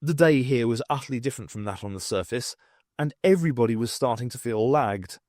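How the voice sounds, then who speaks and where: Expressive male audiobook narrator, very dry sound. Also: medium pitch, brisk, no room sound. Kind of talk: scripted narration of science fiction.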